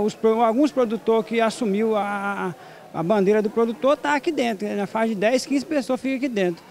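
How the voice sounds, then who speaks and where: A man's voice: very high voice, gravelly, a little mellow. A middle-aged Brazilian man being interviewed as a market vendor, speaking informally and repeating himself into a handheld microphone in an open market hall. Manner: colloquial, repetitive phrasing. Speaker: market vendor and produce grower. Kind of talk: street interview, informal complaint. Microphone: handheld reporter microphone.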